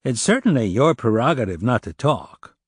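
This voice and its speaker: A man voicing a composed female police sergeant in an audiobook, speaking in a measured, direct way. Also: medium pitch, big pitch swings, breathy edge, no echo, studio quality, voice pushed up. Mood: probing. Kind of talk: audiobook character dialogue.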